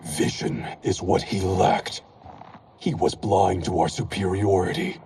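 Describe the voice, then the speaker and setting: A man's voice: low voice, lively intonation, unhurried, gravelly, darkish tone, a little hiss or hum, heavily acted. An actor playing a cold, proud male clan grand master in a game cutscene. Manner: cold, contemptuous. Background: orchestral game music.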